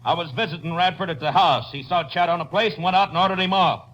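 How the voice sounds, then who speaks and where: A man's voice: sits high, fast, fairly bright tone, slight background noise, rough recording. An American man playing a defiant, shifty rural mob leader in a 1950s radio drama, arguing and evading. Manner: argumentative, evasive.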